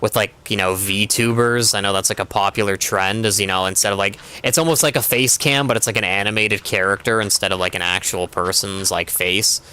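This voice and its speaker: A man's voice: fairly low pitch, steady tone, brisk, hardly any pauses, sharp tone, clean tone. A young man streaming a game, chatting casually in a rambling way with constant filler words. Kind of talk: casual chat while gaming.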